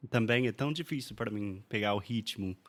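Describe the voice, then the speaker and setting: A man whose first language is English hosts a relaxed chat in Portuguese, choosing his words with care. His voice sits fairly low. He sounds easygoing and casual. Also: a little fast. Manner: careful learner's Portuguese, relaxed, laughs easily. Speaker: podcast host and English teacher. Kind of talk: casual conversational interview.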